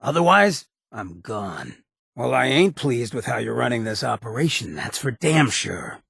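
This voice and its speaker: Very raspy, roguish ghoul. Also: male voice, mid-range voice, sing-song, unhurried, a bit bright, no echo, clean studio sound, exaggerated voice. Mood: stern, fed up. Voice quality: heavily raspy, gravelly. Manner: swaggering slangy drawl, wry and informal. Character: roguish, streetwise ghoul adventurer, irreverent, loyal to underdogs. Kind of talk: video game character acting.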